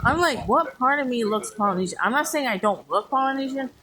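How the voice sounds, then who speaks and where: A woman's voice: high voice, animated, brisk, a bit bright, a little hiss or hum. A woman with an American West Coast accent touched by Hawaiian island speech, chatting casually and meandering in a self-deprecating way to social media. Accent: American West Coast with Hawaiian island influence. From Hawaii, California and American Samoa, US. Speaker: vlogger.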